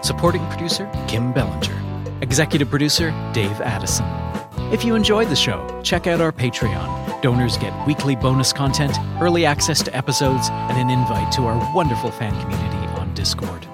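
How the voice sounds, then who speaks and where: A man's voice: medium pitch, big pitch swings, brisk. A man announcing podcast credits in a scripted read, with guitar music playing behind him. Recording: loud guitar music under voice. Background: loud guitar music.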